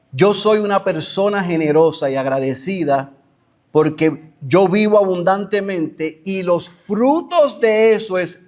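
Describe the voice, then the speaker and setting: Male pastor, lively expressive sermon delivery. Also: sits high. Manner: animated preaching, acts out imagined voices.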